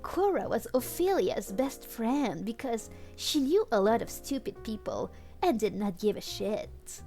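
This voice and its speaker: A woman's voice: fairly high pitch, very animated, measured pace, mellow, soft background music. A woman streamer reading fan fiction aloud in a casual, comedic way, easily cracked up and commenting with amusement as she goes. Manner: giggly, easily cracked up, acts out dialogue.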